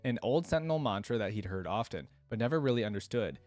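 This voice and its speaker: A man's voice: lowish voice, lively intonation, fast talker, a little mellow, dry recording, faint music behind. A man giving casual, enthusiastic, slangy reaction commentary and reading a story aloud as host.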